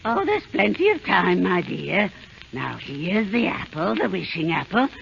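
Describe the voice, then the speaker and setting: A woman's voice: fairly low pitch, big pitch swings, unhurried, vocal fry, a bit bright, heavily acted. An actress playing a wicked old witch queen disguised as a crone, with a crackly, cackling voice, coaxing and then gloating, in a 1930s radio drama. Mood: wheedling. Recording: scratchy lo-fi 1930s radio broadcast.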